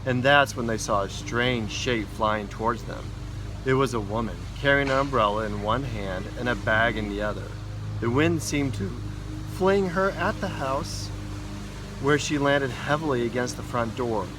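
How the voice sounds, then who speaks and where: A man's voice: mid-range voice, normal speed. A man, a school principal, reading a children's storybook aloud outdoors in an informal way for young listeners. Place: outdoors in a backyard. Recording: outdoor noise, vehicle and ambient hum. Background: loud outdoor ambience, vehicle noise.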